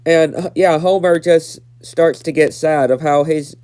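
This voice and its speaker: A man's voice: a little high, steady tone, a little slow, darkish tone, clean tone. A nineteen-year-old man with a stuffed-up nose, casually recapping a cartoon episode and repeating himself with lots of fillers. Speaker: episode reviewer.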